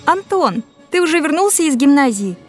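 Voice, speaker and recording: A woman's voice: sits high, sing-song, brisk, faint music behind. A woman playing a curious, teasing young sister in a children's audio drama.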